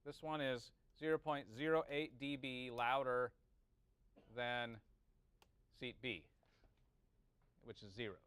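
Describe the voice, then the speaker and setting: A man's voice: mid-range voice, steady tone, slow pace, long pauses, fairly bright tone, smooth, no echo. A man giving an informal technical lesson, thinking aloud and checking in with short questions. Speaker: teacher of audio engineering. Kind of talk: informal classroom lesson, working through a calculation aloud.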